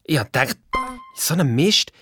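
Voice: mid-range voice, lively intonation, moderate pace, fairly bright tone, breathy edge, no room sound, clean studio sound. Schoolkid detective, Swiss German accent, colloquial storytelling, acted-out quotes, children's audio drama, music underneath. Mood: confiding. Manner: colloquial, acts out quoted speech. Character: Luiz, lively schoolchild detective retelling his adventures. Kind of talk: children's radio play, colloquial first-person retelling.

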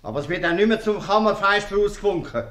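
An actor with a Swiss German accent playing a gruff, stubborn innkeeper and strict father in a 1950s radio comedy. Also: high voice, expressive, fast, fairly bright tone, echoey room. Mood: stern.

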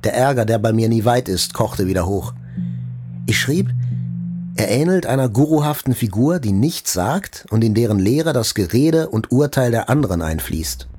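An actor playing a reflective, haunted journalist, narrating a literary audio drama in a deliberate, introspective manner. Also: male voice, medium pitch, expressive, darkish tone, faint music behind, lightly acted. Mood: wry reflection. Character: reflective, haunted male journalist recounting an attack he survived.

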